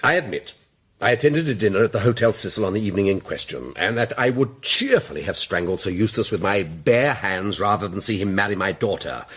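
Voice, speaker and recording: Stuffy older british sir, low raspy voice, blustery and animated, radio play. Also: male voice, heavily acted. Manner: pompous, formal, blustering. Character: pompous older gentleman, indignant titled father. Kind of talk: theatrical radio drama acting.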